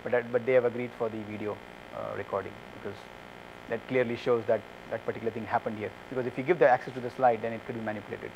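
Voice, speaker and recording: Guy in his 30s talking quick at a conference q&a, lapel mic, bit of hum. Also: medium pitch, steady tone, creaky, a little mellow, poor audio. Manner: fast, hesitant, many fillers and restarts. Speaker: conference speaker. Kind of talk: answering audience questions. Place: conference room with curtains.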